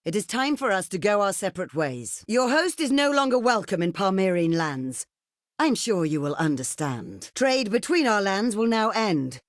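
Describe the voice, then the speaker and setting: A woman playing a proud, shrewd desert queen in a video game, speaking in a formal, regal, measured way. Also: mid-range voice, dry recording, clean studio sound, natural voice. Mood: coldly formal, then haughty, dismissive. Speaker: game character voice actor. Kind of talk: theatrical video game voice acting.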